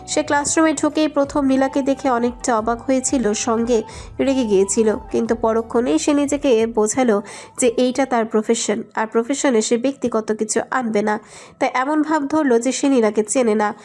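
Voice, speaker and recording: A woman reads a romantic story as its narrator. Her voice is on the high side, and she delivers scripted prose. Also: darkish tone.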